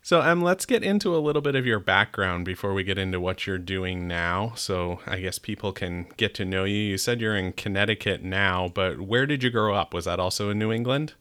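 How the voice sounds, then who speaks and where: A man's voice: low-pitched, lively intonation, a little fast, few pauses, sharp tone. A middle-aged man hosting a podcast in a chatty, upbeat way, with the odd restart. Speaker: podcast host. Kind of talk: casual chat.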